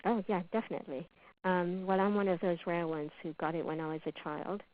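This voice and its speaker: A woman from Uganda, a patient and public health specialist, talking in a relaxed, thoughtful way with many fillers. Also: on the low side, a little fast. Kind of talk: conversational webinar talk. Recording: tinny phone-like line.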